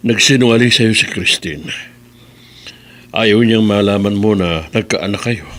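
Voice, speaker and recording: A man's voice: fairly low pitch, a little slow, a bit bright. A man playing a concerned older fatherly figure in a Filipino radio drama, solemn as he explains. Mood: grave, concerned.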